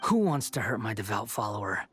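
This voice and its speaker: A man's voice: lowish voice, sing-song, brisk, a bit bright. An actor playing an arrogant young man who calls himself a new god, with a theatrical, condescending manner in a video game. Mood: mocking, amused. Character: arrogant young male self-proclaimed new god, mocking. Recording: music under the voice.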